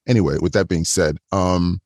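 A man with a voice modulated lower, chatting as a podcast guest in a calm, inviting way, often restarting his sentences. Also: normal speed, dry recording, studio quality. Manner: chatty, with frequent restarts and repetitions. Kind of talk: casual interview chat.

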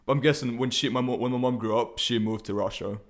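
A man's voice: mid-range voice, fast. A man from Transnistria chatting as a podcast guest, telling personal stories in a laid-back, slangy, jokey way. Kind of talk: casual chat, personal storytelling.

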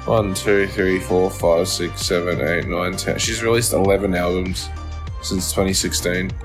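A man's voice: sits low, fairly even intonation, unhurried, music in the background. A man co-hosting a podcast in casual, laid-back, slangy chat. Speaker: podcast co-host.

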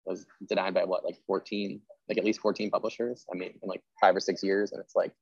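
A man with a deep, creaky voice hosts a loose, informal interview. He rambles in a self-deprecating way, like someone thinking aloud. The sound is dry and slightly muffled, with rough fry in his tone. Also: little pitch movement, fast, dry recording. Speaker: podcast host interviewing an author. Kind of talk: casual, rambling interview chat.

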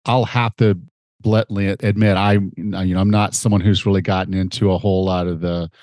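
A man's voice: low-pitched, flat delivery, moderate pace, a little mellow, no room sound, clean studio sound. A middle-aged man with a slightly nasal, stuffed-up voice hosting a conversational podcast. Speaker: podcast host and fitness coach.

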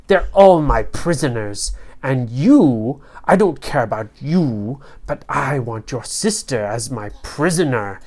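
A woman voicing a tiny, evil male fairy-sorcerer for a children's story, pompous and gloating, with a drawn-out villain delivery. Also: extremely low pitch, lively intonation, a little mellow, exaggerated voice. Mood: gloating menace.

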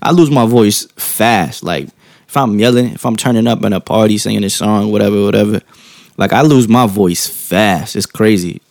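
A man speaks in a low, mellow voice with a good deal of fry in it. He is calm and soft-spoken, musing informally and often circling back to reword a thought. The sound is dry, with no room around it. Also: no echo. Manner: calm, informal slang, halting rephrasing with frequent tag questions. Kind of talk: casual reflective monologue.

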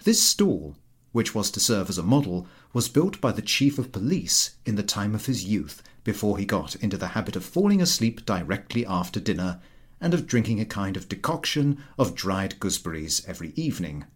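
Expressive male story reader, animated pitch. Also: normal speed, breathy edge. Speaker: audiobook reader. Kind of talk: literary story narration.

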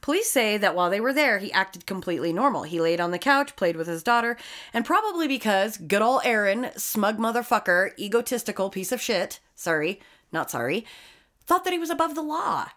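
A woman's voice: mid-range voice, big pitch swings, fairly quick, a bit bright. A woman hosting a casual true-crime podcast, telling the case with sarcastic, swearing asides. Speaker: podcast host narrating the case.

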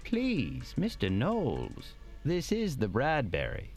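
An actor playing a polite, fussy, squeamish hotel manager in an audio drama, speaking in a formal, deferential and prissy way. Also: male voice, medium pitch, wildly swooping pitch, slow, darkish tone, soft background music, heavily acted. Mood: polite, soothing. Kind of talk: scripted audio drama acting.

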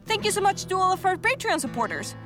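Woman reading podcast credits, really high voice, guitar music playing behind. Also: big pitch swings, fast talker. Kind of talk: scripted credits read. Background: plucked guitar music.

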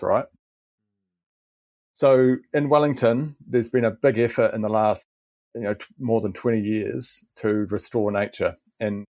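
A middle-aged man with a New Zealand accent, a garden manager, explaining in a relaxed podcast interview with frequent restarts. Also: on the low side, no room sound.

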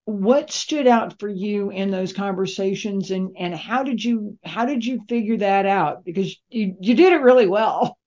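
A woman interviewer speaking conversationally, with deliberate, reflective phrasing. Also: mid-range voice, darkish tone. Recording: dull, lo-fi band-limited sound.

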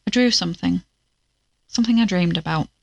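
A woman's voice: lowish voice, brisk, gravelly, a little mellow, slightly rough, no room sound, muffled recording. An actress playing a guarded, uneasy woman troubled by bad dreams in an audio drama, reticent and questioning. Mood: guarded, weary.

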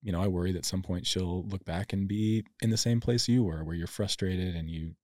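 Very deep-voiced male podcast host. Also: a little fast, dark tone, dry recording, clean studio sound. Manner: supportive, attentive listener. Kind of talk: interview conversation.

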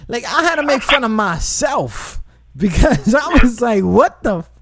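Give me a high-voiced man hosting a casual radio show, loose, swearing and animated. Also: a little slow, no room sound. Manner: animated, swearing, repeats himself, shout-outs. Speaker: internet radio host. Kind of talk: casual chat.

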